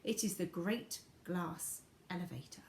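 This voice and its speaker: A woman voicing a brisk, supremely confident, eccentric gentleman inventor from a storybook, with an emphatic, declamatory manner. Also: on the low side, roomy sound, natural voice. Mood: pompous.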